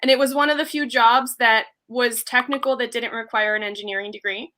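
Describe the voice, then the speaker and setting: A woman's voice: on the high side, fairly even intonation, brisk, pushed and bright. A woman in her thirties, originally from Iceland, telling her career story as an interview guest in a chatty way full of ums and you-knows. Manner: chatty, many fillers like um and you know. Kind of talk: casual interview talk.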